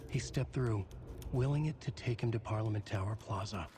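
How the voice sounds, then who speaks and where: An actor playing a brooding writer who narrates a dark thriller in a video game, measured and solemn. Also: male voice, lowish voice, close to an everyday voice. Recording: clear ambient noise behind narration.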